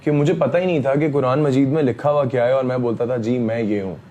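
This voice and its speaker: A man, speaking emphatically as an interview guest, repeating himself and often pausing on fillers. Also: medium pitch, dark tone, slight background noise. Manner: emphatic, repetitive, frequent fillers. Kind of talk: heated interview talk.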